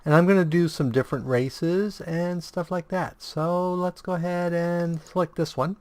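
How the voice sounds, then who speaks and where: A man with a sore throat and strained voice hosting a casual game walkthrough, explaining choices in a halting way and correcting himself. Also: fairly high pitch, steady tone, a little mellow, dry recording. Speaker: video game guide host.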